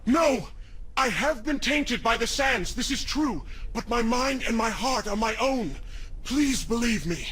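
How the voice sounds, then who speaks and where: A man playing a cursed prince turned sand monster in a video game, pleading desperately in a rough, strained shout. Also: very high voice, animated, normal speed, few pauses, exaggerated voice. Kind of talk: dramatic video game voice acting. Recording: loud game music over the voice.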